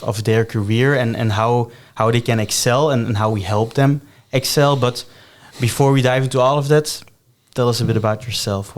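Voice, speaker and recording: A man hosting a podcast interview, who often repeats words and restarts his sentences while asking questions. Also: medium pitch. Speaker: podcast host.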